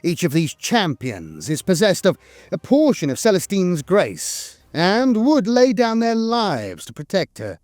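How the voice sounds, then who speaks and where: A man reads scripted lore narration in a measured, solemn way, with dramatic emphasis on key phrases. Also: high-pitched, wildly swooping pitch, a little mellow, dry recording, clean studio sound.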